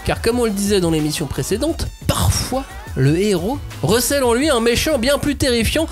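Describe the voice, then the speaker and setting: A young man hosting a manga debate podcast with an energetic, announcer-like delivery. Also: very high-pitched, normal speed, faint music behind. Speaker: podcast host. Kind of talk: lively panel debate hosting.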